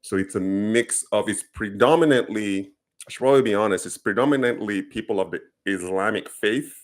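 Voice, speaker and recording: A man's voice: lowish voice, a little fast. A Nigerian man talking casually to camera, explaining things earnestly, with plenty of ums and restarts. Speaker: commentator.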